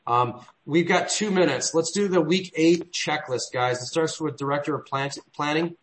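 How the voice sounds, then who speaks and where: A man's voice: fairly high pitch, normal speed, slight background noise, rough recording. A man running a weekly business meeting, rambling with frequent restarts and trailing asides. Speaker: meeting leader.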